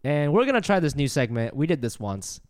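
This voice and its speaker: Laid-back joking man, lively pitch. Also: fairly quick, no room sound, faint music behind. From Japan. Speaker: podcast co-host. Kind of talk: casual podcast chat.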